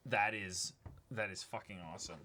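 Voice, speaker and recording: Bright-voiced young man, animated and slangy. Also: lowish voice, moderate pace, a little hiss or hum. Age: young adult. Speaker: host of an unboxing show. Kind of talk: casual excited chat. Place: small room indoors.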